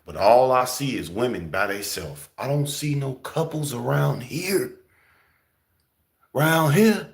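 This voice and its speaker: A young man talking in a casual, slangy preaching style, emphatic and often jumping high for emphasis. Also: vocal fry. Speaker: host.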